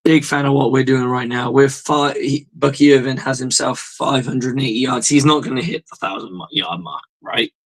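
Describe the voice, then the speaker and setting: A male host with a hoarse voice, giving a blunt, profane, rambling fan's reaction in a casual sports monologue. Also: mid-range voice, moderate pace, hardly any pauses.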